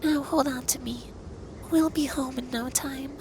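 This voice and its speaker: An effeminate young male dragon played by a man murmurs in a high, breathy voice at an unhurried pace. He is teasing and stern but doting, as in intimate ASMR roleplay. Noticeable ambient noise sits behind him. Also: steady tone. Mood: teasing, affectionate. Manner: soft, breathy, slow, teasing and commanding.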